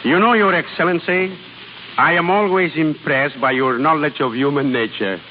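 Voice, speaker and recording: A man playing an excitable, fatherly opera mentor in a theatrical, exclamatory 1930s radio style. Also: on the high side, moderate pace, close to an everyday voice. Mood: wry, deferential. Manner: theatrical, exclamatory, swinging from hushed to emphatic. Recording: muffled noisy 1930s radio broadcast, long reverb.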